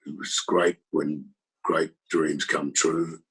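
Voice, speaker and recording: A husky-voiced man reminiscing in a rambling conversational way, with many pauses and fillers. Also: very deep voice, flat delivery, a bit bright. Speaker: record label executive.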